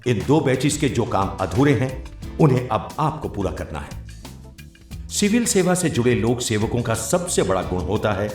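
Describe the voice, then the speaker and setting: A male narrator delivers formal documentary script with an animated, varied melody. His voice sits in an echoey space with music underneath. The delivery is that of an official training film. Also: mid-range voice, moderate pace. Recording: roomy echo, music behind. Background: background music.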